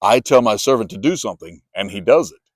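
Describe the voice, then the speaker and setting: A man's voice: on the low side, lively intonation, fast, no room sound, studio quality. Man playing an earnest, emphatic pleading centurion. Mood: humble, emphatic. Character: earnest, humble Roman centurion, adult male soldier.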